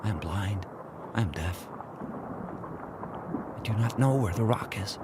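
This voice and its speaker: A man's voice: fairly low pitch, expressive. A man voicing an intense, devoted sled dog narrating its thoughts in terse, solemn, incantatory phrases for a radio drama. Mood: grim, struggling. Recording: noisy rushing ambience behind voice.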